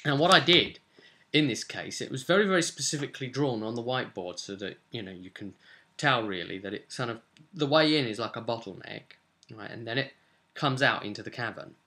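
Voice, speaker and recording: A man's voice: medium pitch, brisk, bright, forceful tone. A man in his twenties casually recapping a tabletop role-playing session as its game master, explaining things as he goes. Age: 20s. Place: small cluttered room at home.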